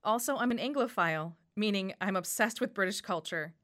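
A woman's voice: mid-range voice, brisk, a bit bright. Woman with a Wisconsin accent narrating. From Wisconsin, USA. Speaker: radio story contributor. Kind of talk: scripted first-person narration. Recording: faint music behind voice.

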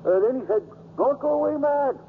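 A high-pitched, humble male groundskeeper, halting and deferential as a witness. The old radio recording is thin, with faint noise. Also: phone-quality sound. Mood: hesitant, earnest. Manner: hesitant, deferential. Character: plain, hesitant male ballpark groundskeeper giving testimony. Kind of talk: 1950s radio drama acting.

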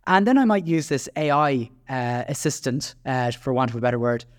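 An Irish man talks through his solo podcast in a chatty, self-deprecating way, tripping over restarts and filler words. His voice sits at an everyday middle pitch. The recording is dry and close, with no room sound. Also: expressive, normal speed, no echo. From Ireland. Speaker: podcast host.

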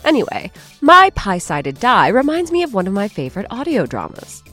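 Casting: female comic podcast host, theatrical, hugely swinging pitch, bright voice, awkward asides. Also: huge pitch swings, normal speed, soft background music. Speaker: podcast presenter. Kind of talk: comic scripted monologue.

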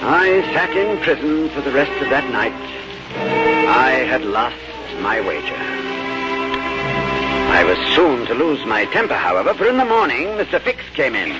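An actor in a 1950s radio drama playing a precise, composed gentleman adventurer with a formal, decisive delivery. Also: medium pitch, very animated, moderate pace, natural voice. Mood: composed, dramatic. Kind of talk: scripted first-person narration and 1950s radio drama acting. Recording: muffled old radio recording, loud music.

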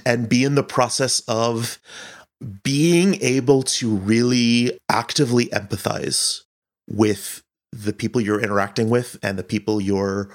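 A man answering interview questions as an audio director, speaking earnestly and thoughtfully, often searching for his words. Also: medium pitch.

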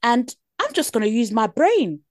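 A young woman with a British accent in casual podcast chat, earnest and animated, often checking "do you know what I mean". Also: on the high side, moderate pace, dry recording, clean studio sound.

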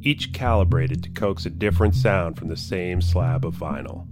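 A man's voice: sits low, moderate pace, loud music behind the voice. A man reading a scripted, narrative feature story as a reporter and narrator.

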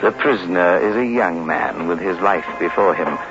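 An actor playing a courteous, sinister host of a 1960s radio horror series, narrating in a slow, theatrical, ominous way. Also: male voice, deep voice. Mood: grave suspense. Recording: muffled old radio recording with music.

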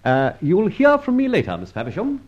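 Theatrical, swooping, suave gentleman rogue. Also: high-pitched, huge pitch swings, a little fast, loud music behind the voice. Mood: amused. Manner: pompous, flippant, mock-courteous. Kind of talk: old radio serial acting. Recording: dull old radio recording.

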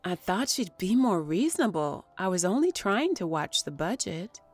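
Animated, politely needling woman newcomer. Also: lowish voice, normal speed, soft background music. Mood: defensive. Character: ambitious young female studio newcomer, politely needling. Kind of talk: scripted audio drama acting.

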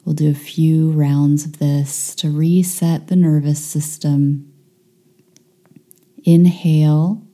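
A woman guides a meditation in a deeply soft, dark and relaxed voice. She speaks extremely slowly, leaving long pauses between short instructions. Her delivery is calm and soothing, with very little movement in pitch.

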